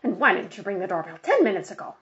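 A woman's voice: medium pitch, very animated, fast, fairly bright tone. A woman voicing a weary, impatient mother in a storybook, curt and exasperated. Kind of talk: storybook character voice. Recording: dull, muffled lo-fi audio.

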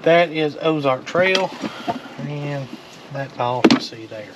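A man in his thirties chatting casually in short, offhand remarks while sorting through boxes. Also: slow pace. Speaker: storage unit reseller. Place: open storage unit doorway, outdoors. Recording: noisy outdoor camera audio, vehicle rumble.

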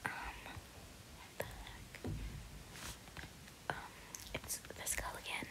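A woman's voice: extremely slow, rough recording. A young woman whispering rambling ASMR commentary over gameplay, often repeating words and phrases. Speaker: gaming video creator.

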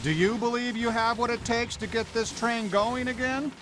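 A man's voice: very high voice, steady tone, moderate pace. A stern train conductor from a video game. He is middle-aged and officious, speaking in formal, clipped phrases with a pompous air. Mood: brisk, formal. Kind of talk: animated video game character acting.

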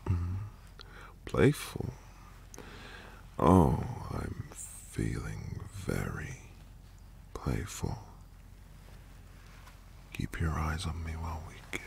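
Man acting as teasing dominant boyfriend, ASMR roleplay, low voice, heavy vocal fry, breathy murmurs, intimate hums. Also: very animated, very slow, pauses often, a little mellow, exaggerated voice. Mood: hungry, intimate. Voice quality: breathy, gravelly murmur often dropping to whisper. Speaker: audio roleplay performer.

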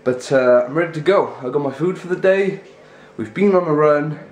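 A man's voice: on the high side, normal speed, a little mellow. A young man with a stuffy, congested nose and sore throat, chatting casually in a vlog and rambling in loose run-on sentences, filmed indoors at home on a handheld camera at arm's length. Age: young adult. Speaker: vlogger. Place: small rooms in a house, indoors.

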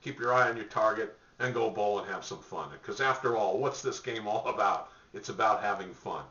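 A man's voice: on the low side, moderate pace, pushed and bright. An older man giving bowling practice tips straight to camera from a home office, talking conversationally with fillers and restarts. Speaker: bowling coach. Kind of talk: direct-to-camera tips talk. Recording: roomy, distant, dull webcam sound.

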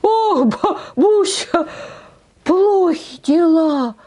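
A woman voicing a flustered young male farmhand in a folk tale, pleading in a plaintive, drawn-out way. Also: very high voice, animated, darkish tone, pitched above the performer's own voice, exaggerated voice. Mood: alarmed, plaintive.

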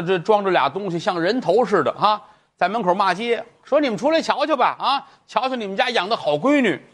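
A man voicing an elderly mother who pleads in court, crying out her lament in a drawn-out sing-song. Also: high-pitched, a bit bright, pitched above the performer's own voice. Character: wailing grief-stricken old mother pleading in court.

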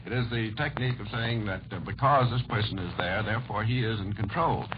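A man's voice: lowish voice, brisk. A man in a 1940s radio debate, speaking as a formal, polite but combative panelist. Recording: noisy, muffled 1940s radio recording.

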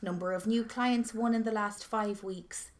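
An actress playing a bossy office manager with a dry, deadpan manner in an audio drama. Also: female voice, medium pitch, a little slow, natural voice.

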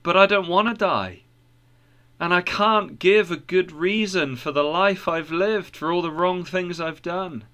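A man's voice: high voice, measured pace, sharp tone, voice pushed up. A man voicing an anguished, burdened seeker of eternal life in a storybook tale, pleading and repeating himself. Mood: despairing. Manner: pleading, repetitive.

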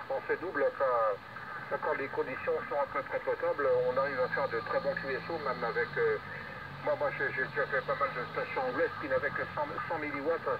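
Male ham operator, rambling and hesitant, highish voice through a radio speaker, heavy noise. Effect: radio transmission. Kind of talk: casual radio contact chat. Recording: distant muffled radio speaker, very noisy. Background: loud radio and outdoor noise.

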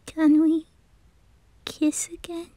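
A shy, lovestruck boy played by a woman speaks in a squeaky, childlike voice. He is timid and halting, taking his time in a romantic audio roleplay, recorded close and dry. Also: monotone, dark tone, dry recording. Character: shy, lovestruck teenage boy singer, small and sweet.

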